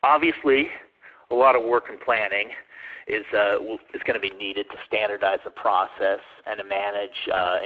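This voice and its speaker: Man, low voice, halting delivery, phone-quality audio. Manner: hesitant, many ums and uhs. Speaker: webinar presenter. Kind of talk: answering questions in a webinar. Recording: tinny phone-line audio.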